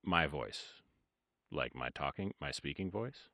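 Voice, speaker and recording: A man in his thirties with a very low voice chatting casually on a livestream from his home studio, rambling and hesitant, with many fillers and trailing-off sentences. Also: lively intonation, a little slow, pushed and bright, dry recording. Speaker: livestreaming music producer. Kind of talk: casual livestream chat while working.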